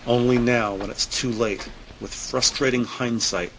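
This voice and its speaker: A man narrating an audio drama in a deliberate, suspenseful scripted style with emphatic pauses. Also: medium pitch, fairly even intonation, background noise.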